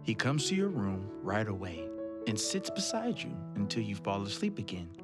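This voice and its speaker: A man reading a children's picture book aloud in an expressive storytelling manner. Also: lowish voice. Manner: slow, lively storytelling voice. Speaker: children's read-aloud host. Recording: loud guitar music behind.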